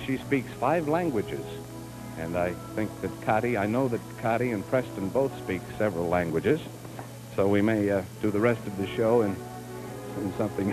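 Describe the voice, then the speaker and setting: A jovial man hosting a live 1950s TV beauty show, chatty and unscripted, breaking into chuckles. His voice sits in an ordinary middle pitch. It comes through an old broadcast recording with music behind. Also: poor audio. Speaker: TV show host.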